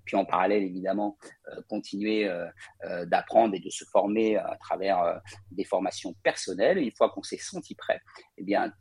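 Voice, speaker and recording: A man's voice: deep voice, moderate pace, a little mellow, husky, no room sound. A man from Paris, cofounder of a real estate company, telling his career story as an interview guest with frequent hesitation fillers. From Paris, France. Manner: fluent, many hesitation fillers.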